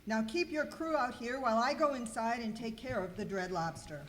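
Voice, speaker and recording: A woman's voice: fairly high pitch, moderate pace, fairly bright tone, natural voice. A woman playing a confident, wisecracking cleaning superhero in an amateur comic radio skit, declaiming in mock-heroic style. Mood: boastful. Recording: clearly noisy live room recording.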